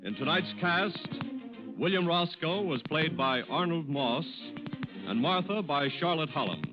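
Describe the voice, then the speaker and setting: An American man announcing from a script as a 1940s radio sponsor's spokesman, formal and emphatic. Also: on the high side. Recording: dull old radio broadcast with music. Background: music.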